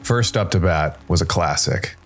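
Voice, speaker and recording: A man's voice: low-pitched, lively intonation, moderate pace, soft background music. A man narrating scripted noir science fiction as the host of a storytelling show.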